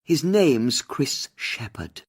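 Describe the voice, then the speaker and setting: A woman's voice: extremely low pitch, sing-song, slow, darkish tone, husky, heavily acted. Woman acting a bitter, haughty older lady. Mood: guarded. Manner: scornful, world-weary. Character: bitter, haughty, faded older aristocratic divorcee. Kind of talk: audiobook character dialogue.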